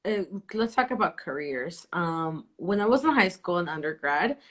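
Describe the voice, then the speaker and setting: A young adult woman from El Salvador, whose first language is Spanish, hosting an interview with soft-spoken, thoughtful questions and frequent pauses. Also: lowish voice, animated. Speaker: host. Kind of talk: interview host.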